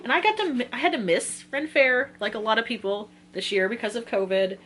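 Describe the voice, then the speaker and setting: A woman's voice: fairly high pitch, brisk, fairly bright tone, slight background noise. A woman in her twenties talking casually and informally to viewers as a book vlogger, giggly and often interrupting herself. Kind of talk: casual chatty book list talk to viewers.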